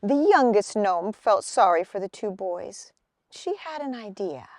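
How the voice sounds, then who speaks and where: A woman reading a children's picture book aloud as an expressive storyteller. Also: mid-range voice, a little slow, no echo, studio quality.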